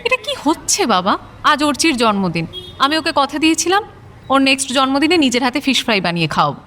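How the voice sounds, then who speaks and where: A woman's voice: high-pitched, big pitch swings, fairly quick, pushed and bright, full character voice. A woman playing a bossy, exasperated young adult daughter in an audio drama, scolding and insistent. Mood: exasperated insistence.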